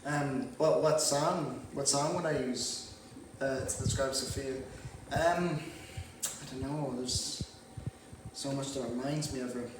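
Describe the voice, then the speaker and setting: Man playing a deadpan housemate, very echoey. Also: medium pitch, slow, close to an everyday voice. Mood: awkward, sarcastic. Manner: hesitant, deadpan mock-documentary interview. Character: weary, sarcastic male housemate on reality show. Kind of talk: comedy mockumentary sketch. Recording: very echoey, distant, noisy room.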